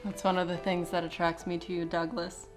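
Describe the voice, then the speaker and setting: A woman's voice: fairly low pitch, little pitch movement, fairly quick, music in the background, natural voice. A woman playing a flirtatious, scheming suitor in a comedy-drama web series, coy and arch with playful literary wording.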